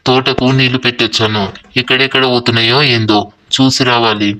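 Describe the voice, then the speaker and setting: Man doing a nosy village farmer uncle, teasing, sharp forceful voice, story dialogue. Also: mid-range voice, steady tone, brisk, slight background noise, close to an everyday voice. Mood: familiar, prying. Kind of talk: acted Telugu moral story dialogue.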